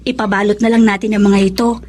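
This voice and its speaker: A woman's voice: mid-range voice, level tone, fast talker, darkish tone, a little hiss or hum, close to an everyday voice. A woman acting a scheming young student in a Filipino drama, breezy and coaxing, mixing in English words. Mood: sweet, coaxing. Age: young adult. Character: scheming, chummy female student buttering up classmate.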